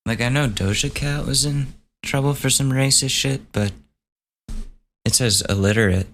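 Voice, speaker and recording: Hoarse, gravelly man hosting a podcast. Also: mid-range voice, a little slow, pauses often, fairly bright tone, slight background noise. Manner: offhand, swears, thinks aloud while reading gossip items. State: losing his voice, hoarse. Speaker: podcast host. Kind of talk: casual solo podcast commentary.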